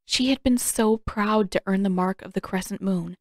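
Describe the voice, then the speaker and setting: A woman's voice: medium pitch, moderate pace, dry recording, clean studio sound. A woman reading scripted audiobook fiction as the narrator.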